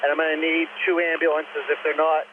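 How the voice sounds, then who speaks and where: A man's voice: on the high side, completely flat delivery, fairly quick. A man acting as fire incident commander, giving instructions over a two-way radio. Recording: tinny, noisy field radio transmission.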